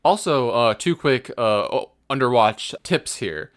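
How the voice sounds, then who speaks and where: Man, podcast co-host, average pitch, casual gaming talk, explanatory, loose hesitant phrasing. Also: expressive, unhurried.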